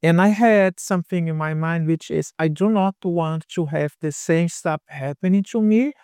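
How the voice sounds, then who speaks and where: Man, fifties, Brazilian Portuguese accent, native Portuguese speaker, podcast co-host, casual, somewhat high-pitched. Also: normal speed, a little mellow, clear, resonant tone, dry recording, studio quality. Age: 50s. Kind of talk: casual chat.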